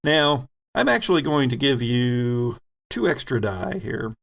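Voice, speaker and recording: A man narrating a tabletop horror role-playing game as game master, setting scenes deliberately with pauses mid-phrase. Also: mid-range voice, very animated, gravelly, a bit bright.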